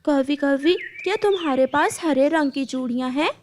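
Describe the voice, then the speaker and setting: A woman's voice: high voice, normal speed, darkish tone, a little hiss or hum, close to an everyday voice. A woman voicing a stubborn, fussy female pigeon with an insistent, complaining manner in a cartoon.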